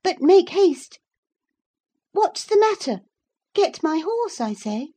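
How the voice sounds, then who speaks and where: A woman voicing a spoiled, imperious young girl in an audiobook, petulant and quick to take offence. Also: very high voice, very animated, warm tone, pitched above the performer's own voice, exaggerated voice. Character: haughty, indignant young girl, spoiled and tearful. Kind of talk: audiobook character voice.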